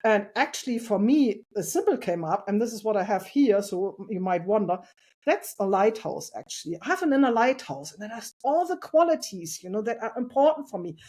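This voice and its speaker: A woman talking as a podcast guest about parenting, earnest and reflective, with a loud voice and light laughs. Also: medium pitch, big pitch swings, a little fast, slightly rough. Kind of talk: casual interview conversation.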